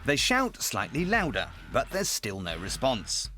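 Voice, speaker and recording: A man's voice: medium pitch, wildly swooping pitch, a little slow. A man narrating police footage in a dry, mocking, tongue-in-cheek scripted voice-over. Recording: voice-over over music.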